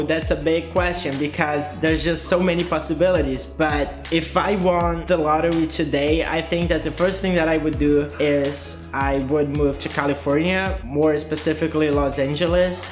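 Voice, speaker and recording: A man's voice: a little high, steady tone, normal speed, hardly any pauses, reverberant, faint music behind. A man in his twenties from Brazil, telling his story playfully and answering interview questions. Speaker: guest YouTuber. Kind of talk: scripted vlog monologue and interview answers.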